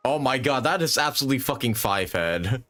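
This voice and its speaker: A man's voice: mid-range voice, animated, fairly quick, fairly bright tone. A man livestreaming a video game, giving casual, informal reaction commentary with plenty of swearing. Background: faint game audio music.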